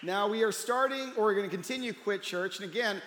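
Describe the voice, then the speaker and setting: A man's voice: sits high, a little fast, very noisy. A man pastor hosting his church service, joking with the congregation and then praying earnestly, speaking on a stage with a handheld mic. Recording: reverberant hall with audience.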